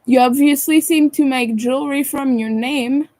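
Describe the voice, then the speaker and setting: A French Canadian woman from Montreal chatting casually on a crochet livestream, answering her chat in a soft, hesitant way with many fillers and small chuckles. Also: high voice, moderate pace. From Montreal, Quebec, Canada. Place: her own room at home.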